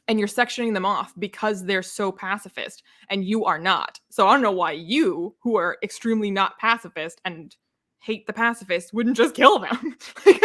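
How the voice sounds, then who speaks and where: A woman in a casual, opinionated book discussion, talking in an animated, exasperated, sarcastic way and acting out imagined quotes. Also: medium pitch. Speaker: book readalong live chat host.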